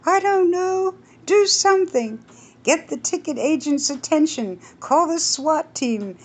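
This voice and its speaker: A woman voicing a panicky woman who is terrified of snakes and speaks in a shrill, frantic way. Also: expressive, measured pace.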